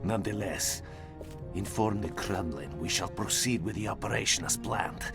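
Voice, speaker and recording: An actor playing a cold, menacing Soviet commander in a video game, with a Russian accent and a deliberate, sinister, gravelly delivery. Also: male voice, deep voice, music in the background. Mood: cold, commanding. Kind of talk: video game villain acting.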